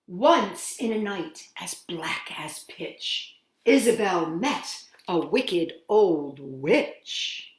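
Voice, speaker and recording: Slow, sing-song woman reading to kids. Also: lowish voice. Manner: expressive, sing-song storytelling with dramatic pauses. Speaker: children's storytime host. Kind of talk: reading a picture book aloud to children. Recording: roomy echo.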